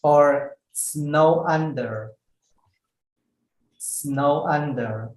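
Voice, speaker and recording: A patient male English teacher who talks slowly and deliberately, repeating each phrase for his learners. His voice has a roomy echo around it, and the pace stays unhurried. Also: fairly high pitch, a little mellow. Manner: slow, careful, repeats words for students. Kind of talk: online English lesson. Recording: echoey room.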